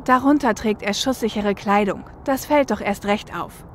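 A woman's voice: mid-range voice, normal speed, close to an everyday voice. A woman playing an analytical, level-headed shadowrunner and getaway driver in a fan audio drama. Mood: explaining. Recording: faint car and traffic noise.

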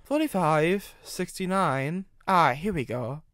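An exasperated storybook engine driver, acted out by a man. He speaks in a raised pitch with a blunt, sharp-tongued scolding manner. The recording is dry. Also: animated, slow pace, no echo. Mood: focused.

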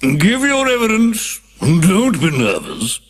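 A woman voicing a stern, pompous old king acting as judge in a storybook reading, dropping her voice low and speaking slowly. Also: huge pitch swings, slightly rough, full character voice.

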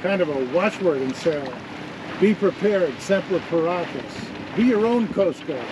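A man's voice: sits high, lively intonation, unhurried. A man giving practical sailing instruction in an explanatory, teacherly way. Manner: didactic, explanatory. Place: outdoors on a sailboat under way. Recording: windy outdoor boat noise.

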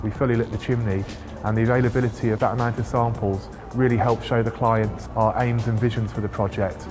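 A man's voice: lowish voice, little pitch movement, fairly quick. A man, explaining a project in an interview as a consultant. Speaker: lighting consultant. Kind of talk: interview explanation for a case study video. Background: music.

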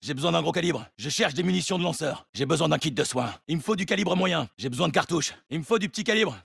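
A gritty, gravelly special forces soldier. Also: male voice, fairly high pitch, lively intonation, fast, a bit bright, no room sound, clean studio sound, full character voice. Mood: low, calm, focused. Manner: terse, clipped military callouts. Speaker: video game voice actor. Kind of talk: military shooter game voice lines, French dub.

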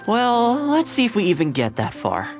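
Game role: kind young guy, animated and gently coaxing, music underneath. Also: high voice, normal speed, heavily acted. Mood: concerned, hopeful. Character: friendly, caring, patient young man coaxing a dog. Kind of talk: video game character dialogue.

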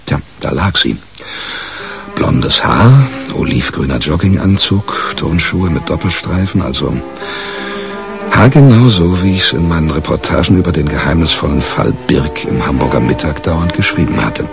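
A very low, gravelly male reporter in a radio crime play. He grumbles colloquially and mocks himself, his pitch swinging in a lively way. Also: moderate pace, music in the background, full character voice. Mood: grim, wry. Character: disgruntled, self-mocking male newspaper crime reporter. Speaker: actor narrating as the lead character. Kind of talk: radio crime drama, colloquial first-person narration.

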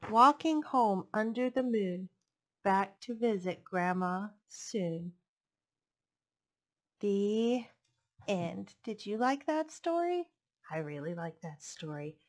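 A woman's voice: medium pitch, lively intonation, slow. A woman in her thirties reading picture books aloud to children in a playful, sing-song rhythm on a headset mic. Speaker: librarian reading storytime. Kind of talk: expressive picture-book read-aloud for children. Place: living room, indoors.

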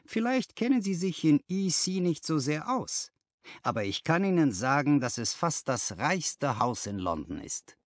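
A man's voice: a little high, big pitch swings, measured pace, no room sound. A man voicing a frank, rueful young London clerk telling his story colloquially in an audiobook.

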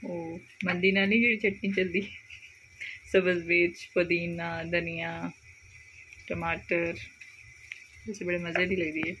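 A woman with a Punjabi accent talks her way through a home cooking vlog, friendly and chatty. Her voice sits a little low. The phone recording catches clear kitchen noise around her: sizzling, stirring and running water. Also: flat delivery, unhurried, long pauses, fairly bright tone, poor audio. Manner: chatty, narrates while cooking. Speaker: vlogger. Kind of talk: casual cooking commentary.

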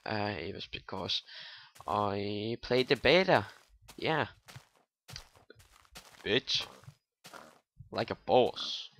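Rambling young man with a bright voice. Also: fairly low pitch, animated, extremely slow, vocal fry, long pauses. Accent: non-native English. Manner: rambling, swearing, joking to viewers. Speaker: gaming YouTuber. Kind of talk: casual gameplay commentary.

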